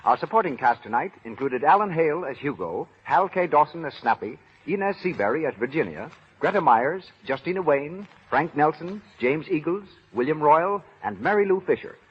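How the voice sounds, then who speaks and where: A man's voice: fairly high pitch, lively intonation, moderate pace, husky, dull audio. A man making formal scripted announcements in 1930s radio style on an old broadcast recording.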